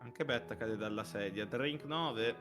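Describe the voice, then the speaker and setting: Sarcastic banter over clear music. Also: medium pitch, measured pace, fairly bright tone. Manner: sarcastic, mildly sweary. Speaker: reader in a live reading group. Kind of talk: casual joking banter. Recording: music playing behind the voice.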